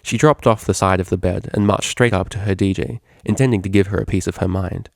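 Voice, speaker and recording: A man reading a story aloud as scripted, expressive narration. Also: low-pitched, a little fast, creaky, no echo, clean studio sound. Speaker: fan fiction reader.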